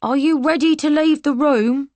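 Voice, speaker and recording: A woman's voice: sits high, normal speed, a little mellow, no echo, pitched above the performer's own voice, lightly acted. A woman voicing a timid young male soldier who stammers and hesitates. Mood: nervous.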